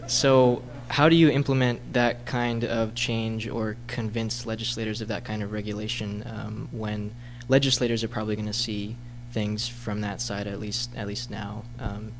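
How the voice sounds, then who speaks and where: Man in the audience, low flat voice, hesitant with ums, faint hum. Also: normal speed, hardly any pauses, low-quality recording. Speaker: audience member asking a question. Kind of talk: Q&A question. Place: lecture hall audience.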